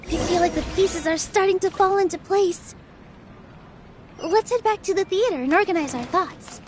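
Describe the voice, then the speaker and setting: A woman voicing a gentle, earnest young female dancer in a video game, speaking softly and reassuringly. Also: very high-pitched, normal speed, noisy background. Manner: soft, reassuring. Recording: game dialogue over music.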